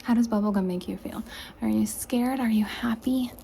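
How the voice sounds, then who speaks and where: Whispering woman in a gravelly ASMR session. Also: a little high, a little hiss or hum. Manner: soft whispering, slow repeated questions. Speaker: ASMR creator. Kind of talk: ASMR roleplay. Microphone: close camera mic.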